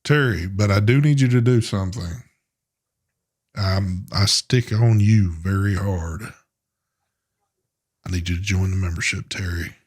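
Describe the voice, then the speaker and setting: A man's voice: low-pitched, measured pace, pauses often, a little mellow, slightly rough. A man, co-hosting a live online show in a loose, rambling way, cussing casually. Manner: laid-back, cussing, rambling. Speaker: co-host of a live vape show. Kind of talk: casual live-stream chat.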